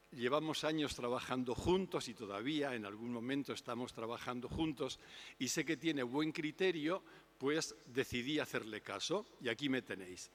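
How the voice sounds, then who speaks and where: An older man giving an unhurried, reflective public talk on spirituality. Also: medium pitch, a bit bright. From Spain. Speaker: invited speaker. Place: lecture hall. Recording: long hall reverb.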